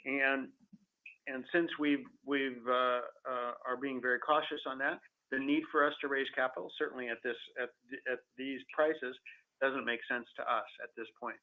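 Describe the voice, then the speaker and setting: A man speaking as a company executive on an earnings call, answering in a halting, careful way with many repeated words, over a phone line. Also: medium pitch, level tone. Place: conference call. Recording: tinny telephone conference line.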